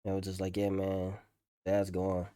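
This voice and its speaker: A young man talking casually over gameplay as a streamer, candid and slangy, in a halting way. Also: low-pitched, completely flat delivery, background noise. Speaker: gaming streamer.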